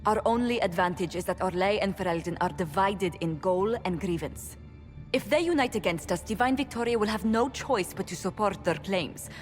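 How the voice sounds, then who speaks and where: An actress playing a poised but anxious court diplomat in a fantasy game, with formal, precise diction. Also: female voice, mid-range voice, animated, normal speed, soft background music, natural voice. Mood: anxious, stern. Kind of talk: video game dialogue acting.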